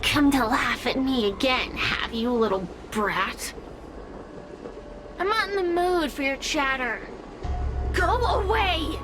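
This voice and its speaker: Slow, high, haughty spirit. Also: female voice. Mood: irritated. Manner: drawling, mocking, theatrical. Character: sly, mocking female fire spirit, gloating and haughty. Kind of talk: dramatic game voice acting.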